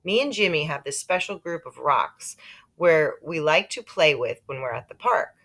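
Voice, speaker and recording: Bright, animated woman reading a children's story. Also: deep voice, normal speed. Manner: animated, with character voices for dialogue. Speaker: audiobook-style reader. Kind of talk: expressive read-aloud of a children's story.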